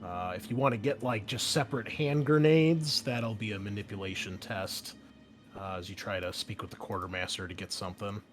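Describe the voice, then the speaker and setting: A man's voice: lowish voice, brisk. A man explaining tabletop game rules casually as game master, thinking aloud as he goes. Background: background music.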